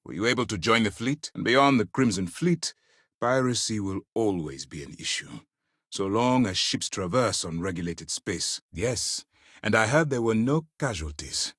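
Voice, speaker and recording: An actor playing a stern, principled space navy commander in a video game, speaking in a formal, deliberate, authoritative way. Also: male voice, on the low side, animated, fairly bright tone, slightly rough, no echo, studio quality, heavily acted. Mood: measured, grave. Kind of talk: scripted dramatic game dialogue.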